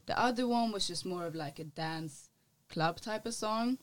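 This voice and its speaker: A young adult woman from Sweden, a musician and model, talking casually as a podcast guest in a relaxed, rambling way full of "like". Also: fairly low pitch, normal speed, a little hiss or hum. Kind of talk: casual interview chat.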